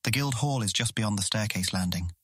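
Proud, eager young guild guide, lowish voice. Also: male voice, a little fast, fairly bright tone, no room sound. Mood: eager, informative. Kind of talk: full-cast audiobook acting.

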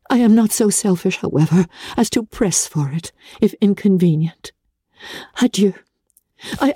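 A woman acts out a gentle young gentlewoman from a classic storybook, confiding family worries by letter. The voice is soft-toned and mellow, at a medium pitch, earnest and careful with feeling in each phrase. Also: dry recording, studio quality, natural voice. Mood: earnest, pleading.

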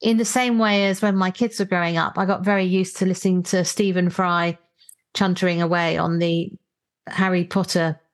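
A woman's voice: lowish voice, fairly even intonation, normal speed. A middle-aged British woman hosting a podcast, chatting conversationally as she reviews books. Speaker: podcast host. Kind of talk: conversational book review discussion.

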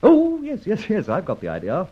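Husky, animated gentleman adventurer. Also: high voice, normal speed, full character voice. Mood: brisk, determined. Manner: breezy, urbane, emphatic, old-fashioned turns of phrase. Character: suave, self-assured gentleman adventurer, playful and resolute. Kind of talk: old-time radio drama acting. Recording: dull, lo-fi old radio recording.

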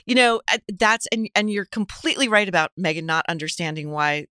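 A woman's voice: fairly low pitch, animated, brisk, bright, forceful tone, smooth, no room sound, clean studio sound. A middle-aged woman giving conversational commentary as a guest columnist, often repeating words as she builds a point.

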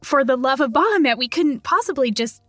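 A woman's voice: high voice, lively intonation, fairly quick, dry recording, studio quality, faint music behind. A woman playing in a tabletop game, speaking eagerly and earnestly in character. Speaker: player.

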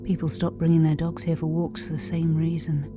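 Wry female hotelier played by an actress, lowish voice, conversational audio drama storytelling, music behind. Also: completely flat delivery, fairly quick, close to an everyday voice. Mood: wry, eerie. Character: wry woman running a remote lighthouse hotel.

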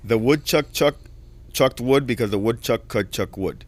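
A man's voice: mid-range voice, a little slow, over a noisy crowd. A man on a podcast giving brief replies and repeating tongue twisters after others. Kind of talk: casual chat.

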